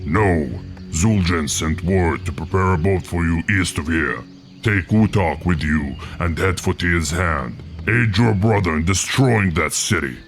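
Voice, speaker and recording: A man playing a stern, commanding orc war chief in a fantasy game, speaking with measured authority. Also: deep voice, faint music behind. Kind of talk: fantasy game cutscene acting.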